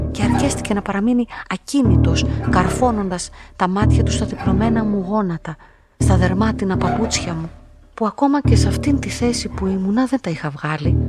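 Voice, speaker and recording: An actress playing a lonely, quietly curious young woman in a radio play, telling her story in a measured, introspective way. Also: mid-range voice, natural voice. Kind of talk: literary radio play narration and dialogue.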